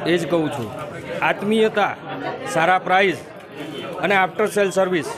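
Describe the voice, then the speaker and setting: Brief: middle-aged shop rep, fairly high voice, chatter all around. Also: male voice, normal speed. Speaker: shop representative. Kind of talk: interview answer. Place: shop entrance, busy. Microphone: reporter's handheld mic.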